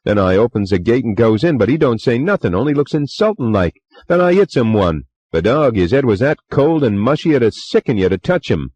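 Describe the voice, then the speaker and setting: A man voicing a young Cockney bellboy who talks in rough dialect and keeps saying "sir", in a muffled, lo-fi recording. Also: medium pitch, big pitch swings, brisk, hardly any pauses, darkish tone, dry recording, voice pushed up. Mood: agitated. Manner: rough dialect speech, deferential, many "sir"s. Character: young Cockney male bellboy, nervous and chatty.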